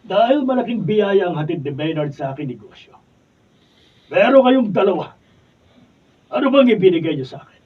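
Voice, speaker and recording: A stern wealthy father who is ill, speaking in a measured, reproachful way, as a man acts him out. His voice is a little high and husky. It sounds dull and lo-fi, picked up from back off the mic. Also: sing-song, full character voice. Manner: measured, reproachful, restarts lines. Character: ailing wealthy father, stern company owner. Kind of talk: radio drama acting, read from script. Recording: lo-fi, mic from a distance.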